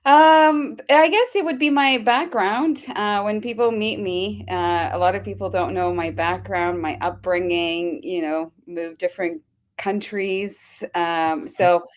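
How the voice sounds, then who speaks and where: A woman from Kenya speaks as a guest on a live podcast. She sounds cheerful and laughs readily, and her voice sits at an ordinary pitch for a woman. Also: a little slow.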